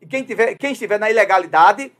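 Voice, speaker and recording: Brazilian male commentator with a high voice, emphatic, drives his points home by repeating them. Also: quick pace, a bit bright. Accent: Brazilian Portuguese. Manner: emphatic, repeats key phrases, many "né" fillers. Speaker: political commentator. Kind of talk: opinionated political commentary.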